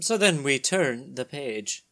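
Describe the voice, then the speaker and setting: A man's voice: mid-range voice, lively intonation, slow pace, bright, forceful tone. A man hosting a comedic dramatic reading of a comic, switching between mock-dramatic narration and casual, jokey asides. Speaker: podcast host and narrator.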